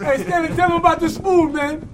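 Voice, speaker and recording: A man co-hosting with casual, excitable hype banter, often repeating his words. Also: extremely high pitch, fairly quick. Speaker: co-host. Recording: outdoor crowd noise behind.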